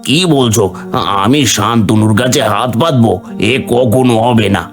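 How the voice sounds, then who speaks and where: A proud but despondent cartoon farmer, acted by a man. His mid-pitched voice is plaintive and burdened, with faint music underneath. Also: normal speed, close to an everyday voice. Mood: proud, indignant. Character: proud, troubled elder-brother farmer, despondent over losses.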